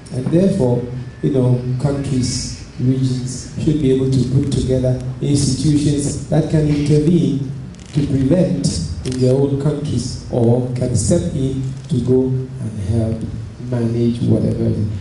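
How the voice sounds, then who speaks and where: A middle-aged Ghanaian man giving a public lecture, measured and deliberate, growing animated when telling stories. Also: medium pitch. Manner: measured and deliberate, rising with enthusiasm in anecdotes. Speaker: lecture speaker. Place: lecture hall. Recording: far echoey hall with noise.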